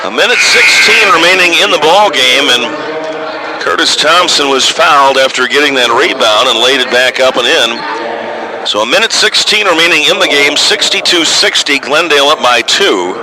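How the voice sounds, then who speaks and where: A man's voice: fairly high pitch, moderate pace, crowd noise. A man calling live basketball play-by-play for radio, in clipped running descriptions of the action, score and clock. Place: basketball gym during a game. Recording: noisy live gym broadcast.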